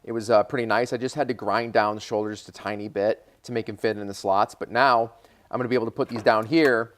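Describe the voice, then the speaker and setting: A man's voice: on the low side, fairly even intonation, fast, no echo. Casual American man explaining hands-on work. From Michigan, United States. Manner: informal, thinking aloud while working. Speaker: DIY car repair video host. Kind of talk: casual hands-on how-to narration.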